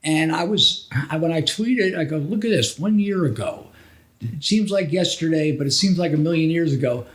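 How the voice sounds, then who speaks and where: A man's voice: fairly high pitch, expressive, moderate pace. A middle-aged man from New Jersey telling anecdotes casually as a podcast co-host, rambling and backtracking as he goes. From New Jersey, USA.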